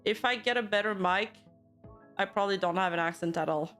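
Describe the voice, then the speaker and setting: A woman's voice: medium pitch, a little fast, fairly bright tone, faint music behind. A young woman from Canada, a VTuber streamer, casually chatting and reacting to news and her live chat, laughing often and teasing back.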